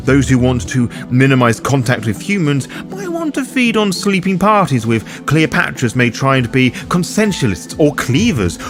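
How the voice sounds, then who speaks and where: Man narrating with lively, swooping pitch. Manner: wry, casually sweary asides. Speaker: host of a roleplaying game guide. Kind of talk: scripted explainer narration.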